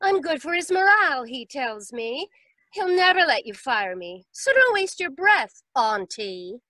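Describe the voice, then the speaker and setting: A woman's voice: high voice, big pitch swings, unhurried, sharp tone, no echo, heavily acted. A woman playing a cool, sly young niece in 1940s radio drama, taunting with sugary sarcasm.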